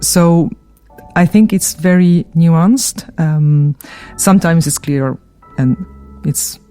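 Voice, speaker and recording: A middle-aged woman from Argentina, a university research coordinator, speaking thoughtfully with pauses and soft laughs. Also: sits low, faint music behind. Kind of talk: recorded personal testimony.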